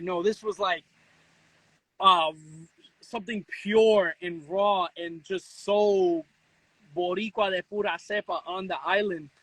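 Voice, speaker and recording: High, bright New man. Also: dry recording, rough recording. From the Bronx, New York. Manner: animated storytelling, slangy, switches into Spanish. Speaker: interview guest, content creator. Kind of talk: casual, opinionated chat.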